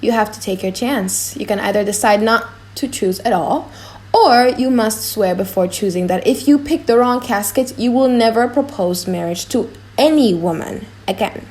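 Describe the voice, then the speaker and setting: A woman voicing a gracious young noblewoman in a dramatic audiobook, measured and courteous in manner. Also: medium pitch, darkish tone, natural voice. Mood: composed.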